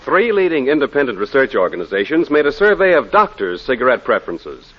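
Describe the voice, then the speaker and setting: A man's voice: mid-range voice, big pitch swings, fairly quick. A man giving a formal scripted 1940s radio advertisement. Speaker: commercial announcer. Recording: dull vintage 1940s radio recording.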